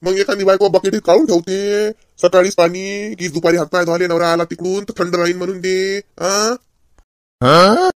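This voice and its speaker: A man plays a henpecked cartoon husband with a fairly high male voice and a Vidarbha Marathi accent. He grumbles with sarcastic, mock-tragic emphasis, stretching words for comic effect. The sound is dry, without room echo. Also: steady tone, normal speed, lightly acted. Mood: teasing, grumbling. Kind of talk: comedy cartoon dialogue.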